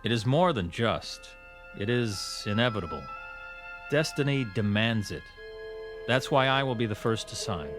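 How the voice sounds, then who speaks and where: A zealous male professor played by an actor speaks in a mid-pitched voice with formal precision. He is solemn and insistent in the manner of radio drama, with faint music behind him. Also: lively intonation, normal speed, lightly acted. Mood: insistent, stern.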